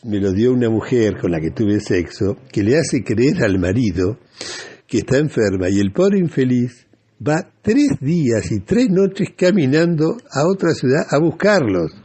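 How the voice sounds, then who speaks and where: A man with a Rioplatense Argentine accent hosting a radio show in a reflective, halting and deliberate monologue. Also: medium pitch, big pitch swings, mellow, husky.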